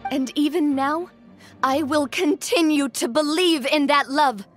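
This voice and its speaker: A young female boss in a video game scene. The voice is high, earnest and resolute, with a commanding delivery over faint background music. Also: unhurried. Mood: defiant, heartfelt.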